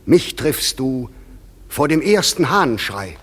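An actor playing the proud, commanding fairy king in a classic verse radio play, with a measured, stately delivery. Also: mid-range voice, sing-song.

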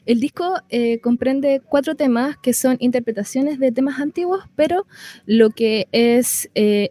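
A woman's voice: on the high side, mellow, no echo. A woman with a Chilean accent speaking as a musician guest in a casual interview, informal and a little hesitant in her phrasing.

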